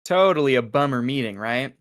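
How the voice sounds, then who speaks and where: A man's voice: mid-range voice, expressive, fairly quick, smooth, no echo, clean studio sound, natural voice. A man playing an oblivious, defensive agent who glibly justifies himself in a script read-through. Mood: sheepish, defensive.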